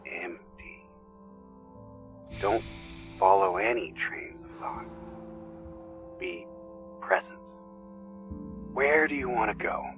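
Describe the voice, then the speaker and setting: An actor as a slow, deep-voiced spiritual man. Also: animated, fairly bright tone, faint music behind, exaggerated voice. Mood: calm, hypnotic. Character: earnest spiritual friend leading a guided meditation. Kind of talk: naturalistic audio drama acting.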